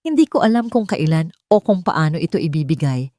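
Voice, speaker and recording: Woman voicing a tender, mellow Filipino husband. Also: low voice, big pitch swings, fairly quick, no room sound, studio quality. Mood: gentle, hesitant. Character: tender, earnest husband and new father, formal, devoted.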